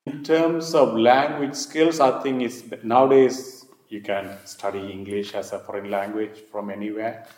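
An echoey room carries the low voice of a man from Sri Lanka, speaking with a Sri Lankan accent. He is an interviewee in an oral history, recalling his life and often circling back over his own phrases. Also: fairly even intonation, a little slow, few pauses, darkish tone, faint music behind.

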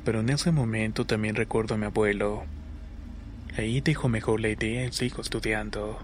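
Man, scripted horror narration, flat intonation, lowish pitch, light background noise. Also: a little fast. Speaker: narrator of listener-submitted horror stories. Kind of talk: scripted scary story narration.

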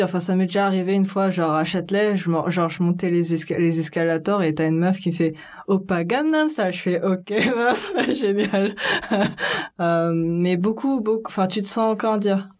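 A woman's voice: fairly low pitch, normal speed, a little mellow. A young woman from France chatting casually in a slangy way, with lots of fillers. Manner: chatty, loose slangy speech full of fillers. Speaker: interviewee. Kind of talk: casual conversation.

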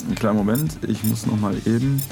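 A man's voice: on the low side, fast, creaky, mellow, soft background music. A man co-hosting a podcast in playful casual chat, talking in a dawdling, fussy way. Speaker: podcast co-host.

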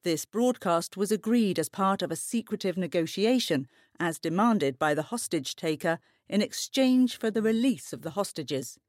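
An actor playing a composed female television news anchor, presenting formally in a measured, grave manner. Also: lowish voice, expressive, a little mellow, no room sound, clean studio sound, close to an everyday voice.

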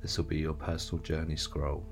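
A man reading a scripted introduction to an audio piece in a measured, instructional way, with a low, gravelly voice. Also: flat delivery. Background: plucked guitar music.

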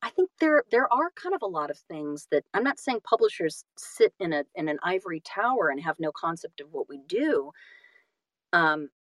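Animated, halting woman in casual conversation. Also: on the low side, no room sound. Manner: animated, self-deprecating, halting with repeated phrases. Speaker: audiobook narrator and guest.

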